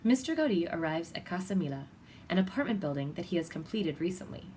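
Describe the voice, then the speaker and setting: A woman's voice: fairly low pitch, very animated, fast, a little mellow. Woman reading aloud, with background noise. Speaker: storybook reader. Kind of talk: children's picture-book read-aloud.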